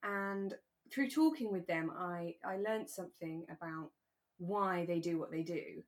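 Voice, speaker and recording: A woman co-hosting a podcast, talking in a relaxed, earnest and reassuring way. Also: lowish voice, unhurried. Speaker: podcast co-host. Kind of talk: relaxed discussion of a radio drama.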